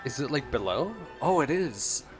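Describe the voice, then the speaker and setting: A man's voice: medium pitch, big pitch swings, normal speed, poor audio. A man doing casual, playful gameplay commentary as a streamer, rambling as he thinks aloud. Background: game music.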